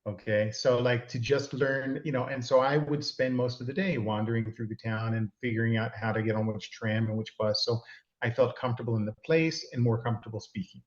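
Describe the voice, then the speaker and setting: A man's voice: medium pitch, steady tone, fairly quick, roomy sound, lo-fi sound. A middle-aged man from California, a guest giving rambling, self-deprecating advice in a casual chat. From California, USA. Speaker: interview guest.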